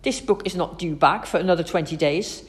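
A woman's voice: fairly low pitch, fairly quick, long reverb tail, natural voice. A woman voicing a mean, grumpy male librarian in a children's story, snapping and sniffing rudely.

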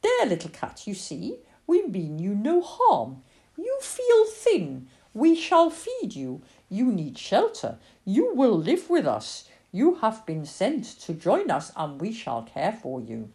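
A woman's voice: medium pitch, huge pitch swings, slow pace, dark tone, voice pushed up, full character voice. A woman voicing an earnest young farm girl in a story, pleading and emphatic. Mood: tender, coaxing. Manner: pleading, emphatic, tearful when upset.

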